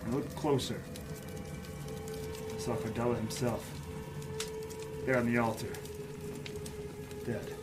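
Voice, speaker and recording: Actor as a hard-boiled private detective, music behind. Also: male voice, medium pitch, fairly even intonation, takes it slowly, long pauses, natural voice. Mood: grim, tense. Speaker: lead actor and narrator. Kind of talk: noir audio drama, dramatic first-person narration.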